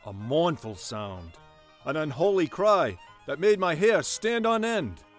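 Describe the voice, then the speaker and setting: A man's voice: fairly high pitch, big pitch swings, takes it slowly, faint music behind. A man playing an earnest, indignant scoutmaster with melodramatic delivery in a radio drama. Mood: indignant, urgent.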